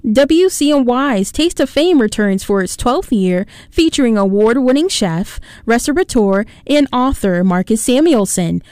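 A woman with a smooth, crisp voice on the higher side reads a scripted promo as a radio announcer. The sound is dry and close, without room reflections. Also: expressive, a little slow, few pauses, darkish tone, no room sound.

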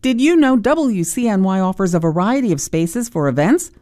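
A woman's voice: mid-range voice, lively intonation, normal speed, mellow, no echo, clean studio sound. A woman reading a station advertisement in an upbeat, bouncy way. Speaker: station ad announcer. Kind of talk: read advertisement.

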